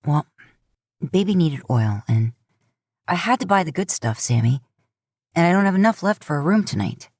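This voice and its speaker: Woman doing a gruff stubborn young hunter. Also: fairly low pitch, sing-song, moderate pace, long pauses, darkish tone, dry recording, studio quality. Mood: weary.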